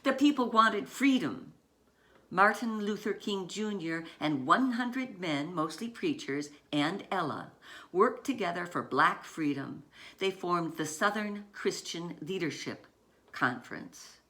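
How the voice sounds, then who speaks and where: A woman's voice: lowish voice, animated, a bit bright. Woman author reading a picture book aloud. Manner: deliberate short phrases, dramatic emphasis on key words. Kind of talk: scripted narration, children's picture book read aloud.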